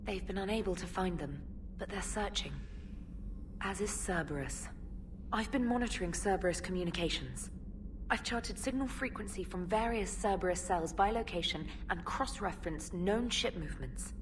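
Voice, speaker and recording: An actress playing an earnest, dutiful military communications specialist in a video game, reporting precisely and politely. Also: female voice, on the low side, moderate pace, close to an everyday voice. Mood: earnest, focused. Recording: distant voice, clear ambient noise.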